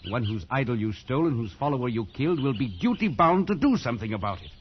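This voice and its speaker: A man's voice: medium pitch, animated, quick pace, breathy edge. An actor playing a stern, worldly colonial camp man in a post-war radio drama, speaking with authority and grim caution. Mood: grave warning. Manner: authoritative, admonishing. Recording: dull, noisy vintage radio recording.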